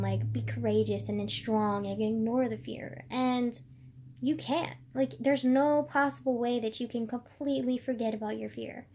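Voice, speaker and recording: Soft-voiced young woman, high and rambling. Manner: rambling, many "like" fillers, hesitant. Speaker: vlogger. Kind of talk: personal reflective vlog monologue.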